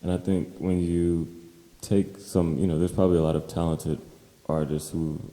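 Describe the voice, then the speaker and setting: A man co-hosting a podcast in relaxed, casual discussion. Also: low voice, moderate pace, in a large hall, low-quality recording. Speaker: podcast co-host.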